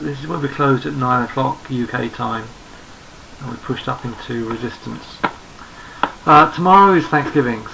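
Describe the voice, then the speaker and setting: A hoarse man who is losing his voice, talking through market charts in an unhurried, think-aloud way and often repeating words as he goes. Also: mid-range voice, background noise. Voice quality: gravelly. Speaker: trading analyst. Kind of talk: screen-recorded market chart commentary.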